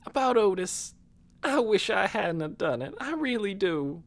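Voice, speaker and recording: A woman's voice: mid-range voice, very animated, unhurried, pitched above the performer's own voice. A woman voicing a guilty, wounded teenage girl in an audiobook, plaintive and pleading, with folksy, uneducated grammar. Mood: regretful.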